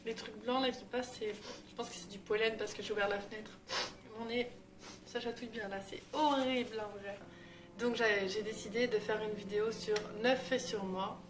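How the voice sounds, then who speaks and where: A woman's voice: on the high side, fairly even intonation, normal speed. A young woman in her twenties chatting casually in a vlog with lots of fillers. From Belgium. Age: 20s. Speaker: YouTuber. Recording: roomy echo, distant mic, noisy.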